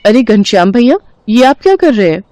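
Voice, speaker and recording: A woman's voice: on the high side, sing-song, brisk, mellow, a little hiss or hum. A woman voicing a poor, pregnant young widow in a cartoon story, speaking in an earnest, pleading way.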